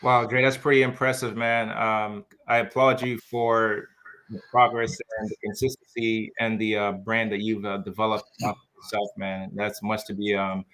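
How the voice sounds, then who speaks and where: A man's voice: lowish voice, flat delivery, moderate pace, a bit bright, rough recording. A man from Jamaica hosting a podcast, casually encouraging his guest and often saying "man". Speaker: podcast host. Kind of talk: podcast interview.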